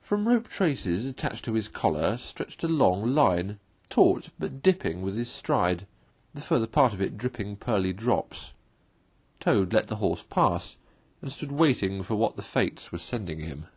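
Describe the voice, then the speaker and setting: A man narrates a classic children's story in a slightly low, husky voice. His reading is expressive and highly animated, with wide movement in pitch. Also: unhurried.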